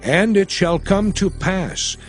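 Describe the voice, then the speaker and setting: An aged prophet and lawgiver of an audio Bible drama, delivering his words slowly and solemnly. His voice sits a touch high for a man and stays measured and exhorting throughout. Soft music plays faintly underneath. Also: lively intonation. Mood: solemn exhortation. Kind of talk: dramatized scripture reading.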